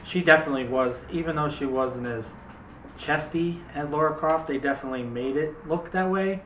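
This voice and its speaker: A man's voice: fairly high pitch, brisk, fairly bright tone, recorded from a distance. A man hosting a casual movie chat, talking directly to viewers in a chatty way. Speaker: video host. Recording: roomy, noisy, off-mic home recording.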